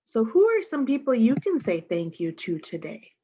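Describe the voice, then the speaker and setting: A woman's voice: a little high, big pitch swings, normal speed, warm tone, husky, muffled recording. A woman reading a picture book aloud to young children, expressively and addressing them directly with questions. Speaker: storytime reader.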